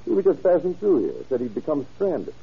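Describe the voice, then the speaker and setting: Small-town banker, chatty and obliging, very lively pitch, hesitant recall; vintage radio drama, muffled recording. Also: male voice, brisk, creaky, husky, exaggerated voice. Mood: helpful, recalling. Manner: hesitant, thinking aloud as he recalls. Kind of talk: old radio drama acting.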